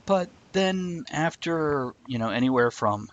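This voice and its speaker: A man's voice: a little high, animated, a little slow, no room sound, dull audio. A man hosting a live online talk show, chatting casually and rambling a bit with a self-deprecating humor. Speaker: podcast host. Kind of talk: casual chat.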